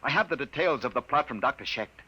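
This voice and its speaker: An indignant doctor in a vintage science fiction radio play, racing through his lines with insistent urgency. The voice is husky and bright, swinging with emotion. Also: mid-range voice, animated, fast talker, lo-fi sound. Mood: urgent, pleading. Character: urgent, indignant male doctor, proud galactic citizen. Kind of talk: 1950s radio drama acting.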